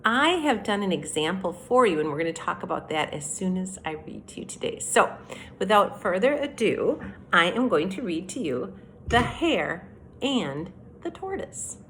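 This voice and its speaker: Woman teacher with lively, swooping intonation. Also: medium pitch, a little hiss or hum. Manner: deliberate, instructive, addressing young children. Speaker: elementary school teacher. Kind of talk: children's lesson and story read-aloud.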